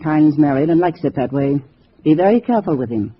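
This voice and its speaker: An actress playing a calculating communist cell leader who gives businesslike orders in 1950s radio drama style. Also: female voice, sits low, animated, a little fast, a little hiss or hum. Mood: firm warning. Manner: businesslike, instructing. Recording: dull vintage radio recording.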